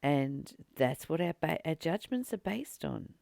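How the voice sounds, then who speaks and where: Slow, creaky-voiced spiritual teacher. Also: medium pitch, very animated, darkish tone, no echo. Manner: deliberate, earnest, with long pauses between short phrases. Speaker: spiritual teacher reading and commenting on a text. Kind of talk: reflective spiritual study, reading aloud with personal commentary.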